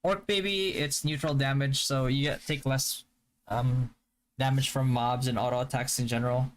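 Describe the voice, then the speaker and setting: A young man from the Philippines whose first language is Hiligaynon, chatting casually on a live stream and answering viewer questions in a relaxed, stop-start way. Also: mid-range voice, normal speed, slight background noise, poor audio. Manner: relaxed, stop-start, with frequent fillers and restarts. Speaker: game streamer.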